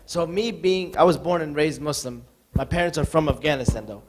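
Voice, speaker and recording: Brief: male audience member, slightly high voice, earnest and searching. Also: brisk. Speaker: audience member asking a question. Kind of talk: earnest question from the floor.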